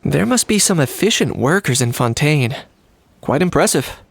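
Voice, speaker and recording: A man playing a calm, polite and dryly wry young adventurer in a video game, composed and understated. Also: fairly high pitch, moderate pace, creaky, a little hiss or hum. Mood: calm, casual.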